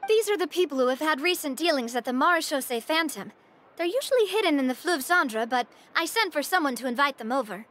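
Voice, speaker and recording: A woman's voice: high voice, animated, brisk, fairly bright tone, a little hiss or hum. A woman playing a confident, breezy young woman in charge, in a video game.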